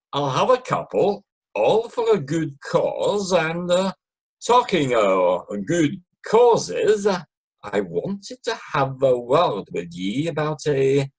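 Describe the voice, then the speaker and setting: A man's voice: mid-range voice, husky, exaggerated voice, rough recording. A man playing a jovial, canny Scotsman in a radio play, with a Scottish accent and hesitant, teasing, folksy delivery. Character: jovial, canny old-fashioned Scotsman in a kilt. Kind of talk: amateur radio play acting.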